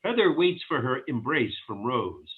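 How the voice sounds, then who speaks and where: A man's voice: mid-range voice, very animated, moderate pace, slightly rough. A man reading stage directions aloud as scripted narration for a table read. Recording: thin, phone-like audio.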